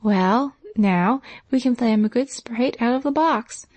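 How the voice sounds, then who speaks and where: A woman voicing an eager, excitable young girl of means who talks fast and earnestly. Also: on the high side, no echo, pitched above the performer's own voice.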